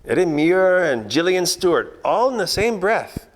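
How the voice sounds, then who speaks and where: A man playing a wisecracking, world-weary 1940s private detective, narrating a radio noir parody in deadpan hard-boiled style. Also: sits high, a little slow, in a large hall, exaggerated voice. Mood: wry, deadpan.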